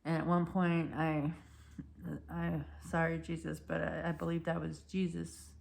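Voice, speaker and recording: A woman in her thirties telling a personal story in a rambling, halting way, her voice hoarse and cracking. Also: low-pitched, completely flat delivery. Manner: rambling, halting, many restarts and fillers. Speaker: vlogger.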